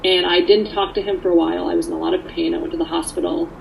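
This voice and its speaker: Low, gravelly woman sharing personal testimony. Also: little pitch movement, very fast, a little hiss or hum, low-quality recording. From Canada, born in Poland. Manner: candid, earnest. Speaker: speaker sharing her recovery story.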